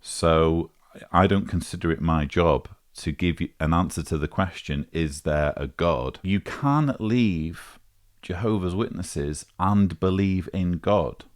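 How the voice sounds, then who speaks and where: A man with a low-pitched voice hosts a vlog. He speaks very slowly and deliberately. His phrasing is formal and articulate, in the style of measured commentary. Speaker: vlog host.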